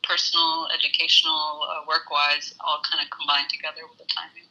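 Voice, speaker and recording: A woman in her thirties from Saudi Arabia, an engineer talking through her story in a casual interview, hesitant with many pauses. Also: low-pitched, flat delivery, bright, forceful tone, rough recording. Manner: thoughtful, hesitant with many ums. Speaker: guest, engineer.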